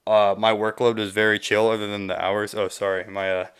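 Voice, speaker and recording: Smooth-voiced young American man swapping stories. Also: on the low side, flat delivery, a little fast. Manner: profane, joking, slangy. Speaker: podcast guest. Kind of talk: casual chat, rambling storytelling.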